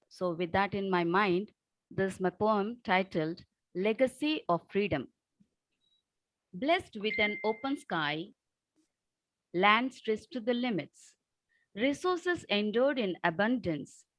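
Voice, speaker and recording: A woman's voice: mid-range voice, no room sound, rough recording. A woman with a South Indian accent introducing and reciting poetry in an earnest, declamatory manner over a video call. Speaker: poet.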